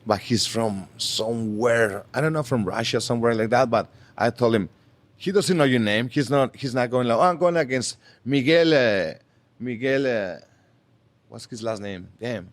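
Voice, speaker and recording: A man's voice: medium pitch, animated, a little fast. A man hosting a podcast in English, a Spanish speaker by first language, joking loosely with his guest. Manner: joking, loose conversational host. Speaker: podcast host. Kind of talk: casual interview chat.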